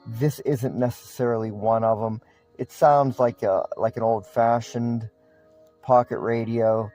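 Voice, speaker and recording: A man's voice: medium pitch, steady tone, measured pace, mellow, faint music behind. A man giving a casual, unscripted product review in a matter-of-fact, plain-spoken way.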